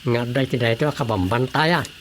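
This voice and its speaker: A man's voice: mid-range voice, fast talker, faint music behind, close to an everyday voice. A man with an Ilocano accent playing a resolute, authoritative army officer in a radio drama. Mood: firm, commanding. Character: resolute army officer giving orders to his men.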